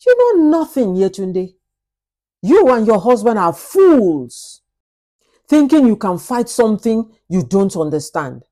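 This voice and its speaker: A woman's voice: a little high, very animated, a little slow, dark tone. A woman voicing a sinister, mocking evil maid in a folktale, with a taunting, menacing manner. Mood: mocking contempt.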